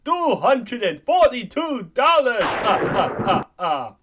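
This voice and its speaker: A man's voice: extremely high pitch, very animated, takes it slowly, background noise, heavily acted. A man voicing a pompous counting vampire puppet who savors numbers in a comic radio play. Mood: deliberate glee.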